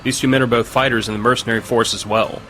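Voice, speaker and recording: An actor playing a firm mercenary leader who runs a supply caravan in an audio drama. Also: male voice, medium pitch, steady tone, quick pace, pushed and bright, soft background music, lightly acted, poor audio. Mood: firm, diplomatic.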